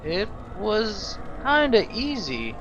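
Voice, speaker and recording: A man's voice: high-pitched, wildly swooping pitch, takes it slowly, fairly bright tone. A man giving casual, half in-character game commentary, hesitant and a little wry. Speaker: gamer narrating a role-play playthrough. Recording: dull lo-fi mic, clear background noise.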